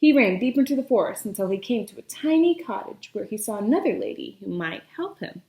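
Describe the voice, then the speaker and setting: A woman reading a children's picture book aloud with expressive storybook narration. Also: mid-range voice, fairly quick, roomy sound.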